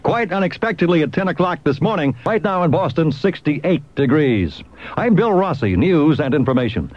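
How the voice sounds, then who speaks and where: A man's voice: on the high side, animated, normal speed. A man working as a radio newsman, moving between casual banter and station announcing on a 1970s broadcast. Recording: dull, dry 1970s AM radio aircheck.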